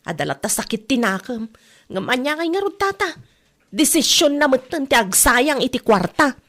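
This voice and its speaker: High-pitched indignant Ilocano village wife woman acting. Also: sing-song, moderate pace, a bit bright, full character voice. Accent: Filipino Ilocano. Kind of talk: comedy drama podcast acting.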